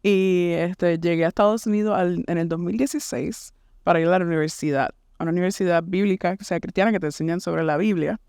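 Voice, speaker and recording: Young woman, Puerto Rican accent, podcast guest, chatty, fillers and English words mixed in, smooth voice, dry close mic. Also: lowish voice, fast, a little mellow, dry recording. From Puerto Rico. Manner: chatty, uses fillers and drops English words into her Spanish. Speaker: podcast guest, church worship resident. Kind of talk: casual podcast chat.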